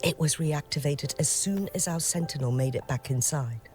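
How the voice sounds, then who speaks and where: A woman's voice: sits low, moderate pace, natural voice. A woman voicing an earnest, loyal guard officer in a video game, speaking formally and solemnly. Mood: grave, briefing. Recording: game dialogue with music behind.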